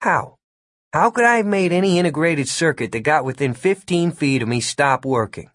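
A man performs a male hacker in an audiobook, cheeky and politely smug. He reasons calmly and persuasively, with a lively rise and fall. The sound is close and dry. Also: fairly high pitch, moderate pace, no room sound, voice pushed up. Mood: reasonable, teasing. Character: calm, cheeky, smooth-talking male computer hacker. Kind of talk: audiobook character voice.

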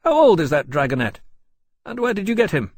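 A man playing a brisk courier captain in an audiobook story, blunt but affable, with a breezy, forthright manner. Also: sits high, wildly swooping pitch, dry recording, pitched above the performer's own voice, exaggerated voice. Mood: curt, suspicious.

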